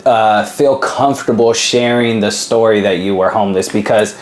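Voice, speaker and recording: A man's voice: lowish voice, moderate pace, roomy sound. A young man hosting a podcast in casual chat, laid-back and loose, with many fillers. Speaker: podcast host.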